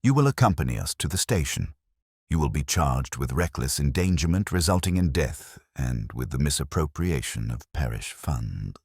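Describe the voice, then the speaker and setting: A man voicing a calm, grave police inspector in a detective audiobook, speaking gently and formally with quiet firmness. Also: extremely low pitch, normal speed, breathy edge, dry recording, studio quality, heavily acted. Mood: grave finality. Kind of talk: dramatised detective story dialogue.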